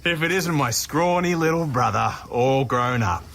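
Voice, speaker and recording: An actor with an Australian accent playing a cocky older brother who needles and mocks in matey slang, in a naturalistic audio drama. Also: male voice, mid-range voice, moderate pace, fairly bright tone, natural voice. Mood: mocking, teasing. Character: cocky taunting older brother, a grown man.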